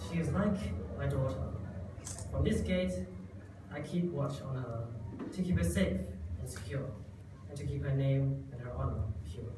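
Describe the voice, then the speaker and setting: A man's voice: medium pitch, moderate pace, lightly acted. A man playing a loyal, protective, pious uncle in an amateur puppet show, declaiming earnestly. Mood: solemn. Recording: very echoey noisy room, distant mic.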